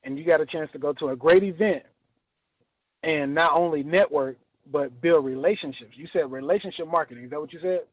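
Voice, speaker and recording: Male call host, fairly high voice, chatty interviewer, narrow tinny telephone audio. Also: a little fast, dry recording. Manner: chatty, punctuates with "man" and "now". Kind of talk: conversational interview on a conference call. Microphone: telephone conference call. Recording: tinny phone line, narrow band.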